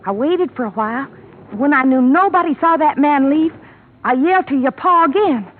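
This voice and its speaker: A woman's voice: high-pitched, animated, moderate pace. An actress playing a desperate, obsessive young hired girl in an American radio drama, speaking with intense, pleading rural speech. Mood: fevered confession. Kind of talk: 1950s radio drama acting. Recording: noisy, tinny old radio recording.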